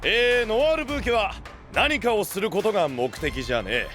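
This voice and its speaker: An actor playing a rough, hot-blooded, big-hearted young male knight leader with blunt slangy speech in an anime-style voice drama. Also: high-pitched, animated, brisk, a bit bright, faint music behind. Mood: earnest.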